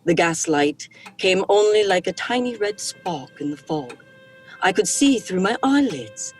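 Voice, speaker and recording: A haunted woman in a theatrical horror drama. She speaks very slowly and deliberately, as if entranced by eerie visions, with soft music behind her. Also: medium pitch, big pitch swings. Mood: uneasy, dreamy. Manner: hushed, deliberate, dreamlike.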